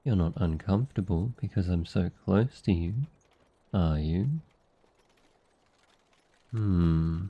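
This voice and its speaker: A man playing a gentle, devoted noble demon familiar in a boyfriend ASMR roleplay, speaking softly in a tender, earnest, unhurried way. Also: sits low, highs cut off, exaggerated voice. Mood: playful, caring.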